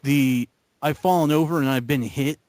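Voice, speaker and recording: A man's voice: mid-range voice, normal speed, darkish tone, dry recording. A man talking casually about video games as part of a group of friends. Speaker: member of a gaming group.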